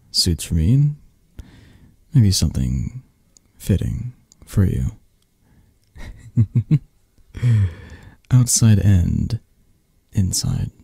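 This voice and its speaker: Man playing a low, mellow, flirty mafia boss lover. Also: gravelly, husky, dry recording, studio quality, heavily acted. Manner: soft, intimate, halting, chuckling, teasing. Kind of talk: ASMR romance roleplay.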